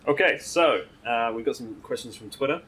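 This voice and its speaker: A man's voice: mid-range voice, animated, normal speed, a bit bright, a little hiss or hum, low-quality recording. A man interviewing a guest, upbeat and reading out fan questions.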